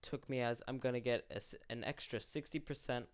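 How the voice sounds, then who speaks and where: A man's voice: medium pitch, fairly quick. A young man hosting an unscripted commentary, rambling and correcting himself, sick and losing his voice. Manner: rambling, self-correcting, candid. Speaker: YouTube channel host.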